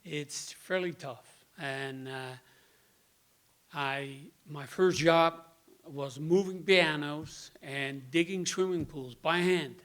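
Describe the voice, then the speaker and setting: A man in his sixties speaks with a Dutch accent, his voice sitting a little higher than most men's. He tells his story in a plain, halting way, stopping often to search for words. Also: a bit bright. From Netherlands. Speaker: guest speaker. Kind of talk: personal testimony.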